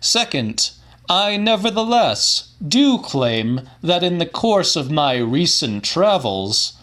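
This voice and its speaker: A man playing a pompous, grandiose scientist-showman lecturing a theater audience in a formal, theatrical, deliberate way, as in a dramatic audiobook. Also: on the high side, big pitch swings, a bit bright, heavily acted. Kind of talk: dramatic audiobook reading of a monologue.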